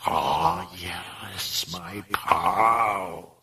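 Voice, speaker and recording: A man voicing a possessing demon in a girl's body, with a coarse, guttural, powerful voice and slow, drawn-out delivery. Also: high-pitched, expressive, vocal fry, bright, forceful tone, slightly rough, far from the mic, pitched above the performer's own voice, heavily acted. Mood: ecstatic crooning. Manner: slow, drawn-out bellowing and crooning.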